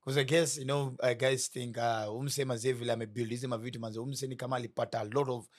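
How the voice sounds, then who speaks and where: A man's voice: mid-range voice, steady tone, fast. A Kenyan man with a Kenyan accent hosting an interview, chatty and playful, mixing in Swahili slang. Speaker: talk show host. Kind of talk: interview questions.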